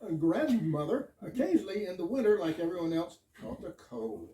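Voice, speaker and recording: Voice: medium pitch, big pitch swings, fairly quick, dark tone. A performer in amateur readers' theater playing a bashful, long-winded fire chief who tells absurd stories. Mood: rambling, earnest. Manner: long-winded run-on storytelling. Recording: echoey room, distant mic.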